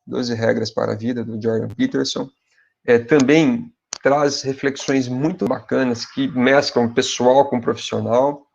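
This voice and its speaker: A man in his forties with a Brazilian Portuguese accent and a gravelly, mid-range voice advises graduate students in a relaxed, conversational way. He addresses his viewers directly and often adds little tags asking if they follow. The audio is a bit dull and lo-fi. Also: mid-range voice, a little slow, slight background noise. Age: 40s. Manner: speaks directly to viewers as "pessoal". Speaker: university professor. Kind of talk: advice talk to graduate students.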